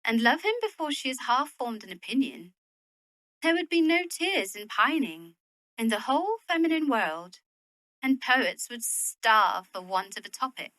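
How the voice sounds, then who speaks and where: An actress playing a proud, practical young Victorian widow in a period audio drama, speaking in a worldly, opinionated way. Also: female voice, on the high side, big pitch swings, unhurried, bright, forceful tone, no echo, heavily acted, poor audio. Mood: worldly, musing. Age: young adult.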